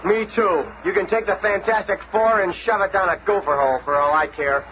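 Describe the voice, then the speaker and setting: An actor playing a brash, cocky, hot-headed young superhero in a 1970s radio drama. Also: male voice, high-pitched, brisk, close to an everyday voice. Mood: angry. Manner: brash, excitable, taunting. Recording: muffled, noisy old radio recording.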